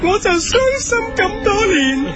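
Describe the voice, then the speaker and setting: Woman acting a high-voiced grieving, gullible mother. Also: expressive, measured pace, very noisy. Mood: tearful. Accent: Hong Kong Cantonese. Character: gullible grieving mother of a long-dead son. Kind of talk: comic radio skit. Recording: loud music bed.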